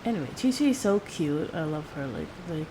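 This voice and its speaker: A woman's voice: sits low, big pitch swings, brisk, noisy background. A woman game streamer chatting casually to her viewers during a livestream. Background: music.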